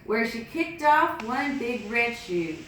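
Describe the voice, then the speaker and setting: A woman's voice: fairly high pitch, slow, a little hiss or hum. Woman reading a story in an echoey room. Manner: lively, sing-song sound effects. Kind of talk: reading a picture book aloud. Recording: echoey room, distant mic.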